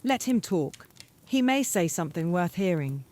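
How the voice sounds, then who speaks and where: A woman acts as a feisty young witch in a fantasy audio drama. She is frank and insistent, with very lively, swooping pitch. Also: moderate pace. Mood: fair-minded. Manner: plain-spoken, insistent. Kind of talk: fan audio drama acting.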